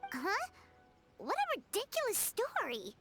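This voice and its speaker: A woman voicing a small, childlike, sassy fairy companion in an anime game, suspicious of everyone and squeaky. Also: wildly swooping pitch, measured pace, fairly bright tone, faint music behind, exaggerated voice. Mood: indignant.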